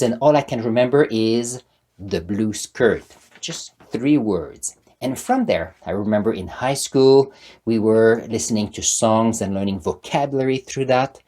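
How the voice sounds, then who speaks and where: A man's voice: fairly low pitch, darkish tone. A man in his sixties with a French-Canadian accent, French his first language, giving an earnest motivational talk to camera as the channel's host. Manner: earnest, emphatic, repeats key words.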